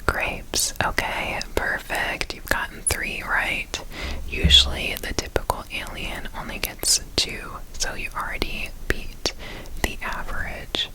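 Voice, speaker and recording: A woman whispering an ASMR roleplay as an examiner, gentle and reassuring, repeating words softly in a breathy whisper. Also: slow pace, low-quality recording. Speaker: ASMR performer playing an examiner.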